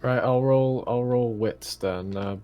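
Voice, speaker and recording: A man playing a tabletop game, chatting casually in a halting way, apologetic and repeating himself. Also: medium pitch, a little mellow. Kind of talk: casual chat. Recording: faint noise, keyboard clicks.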